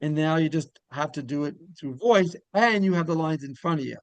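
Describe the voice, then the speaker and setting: Creaky-voiced man hosting a casual interview. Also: on the high side, a little fast, dry recording. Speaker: interviewer, show host.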